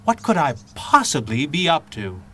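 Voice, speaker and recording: Thirties radio leading man, an urbane teasing husband, lively range, theatrical polish. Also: on the high side, moderate pace. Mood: wry, breezy. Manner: suave, wry, theatrical. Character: urbane, playful, teasing husband and masked hero. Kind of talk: 1930s radio drama acting.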